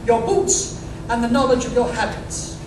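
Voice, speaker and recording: A man playing a keen, self-assured detective in old-time radio style, speaking in a brisk, precise, knowing way. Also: very high voice, animated. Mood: deductive, brisk. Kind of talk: 1930s radio drama acting. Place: museum live performance space. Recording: very echoey room, distant mic, noisy.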